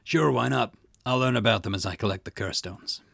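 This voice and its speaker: Guy doing a gruff old-hand detective boss for a game, wry n matter-of-fact, husky low voice, real dry close sound. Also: fairly quick, a bit bright, no room sound, full character voice. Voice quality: gravelly, husky. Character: seasoned male detective boss, brusque and wry. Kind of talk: reading visual novel dialogue in character.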